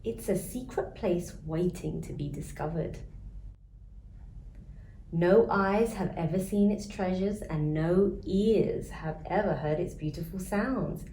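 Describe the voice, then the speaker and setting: A kindly grandmother, as a woman reader plays her in a storybook, gently reassuring and mellow. The voice sits in an echoing room. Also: on the low side, slow. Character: kindly, reassuring grandmother telling twins about paradise. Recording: roomy echo, faint background noise.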